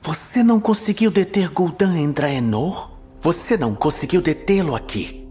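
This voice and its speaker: A man's voice: a little high, lively intonation, moderate pace, dark tone, voice pushed up, natural voice. A man voicing a stern, scornful female warden commander with a cutting, commanding manner in a fantasy audio drama. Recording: music bed behind voice.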